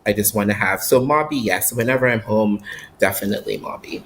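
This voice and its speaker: A man's voice: on the low side, fairly quick, fairly bright tone, slight background noise. A man from Barbados, a guest who lives abroad, talking casually in an interview with many fillers and restarts.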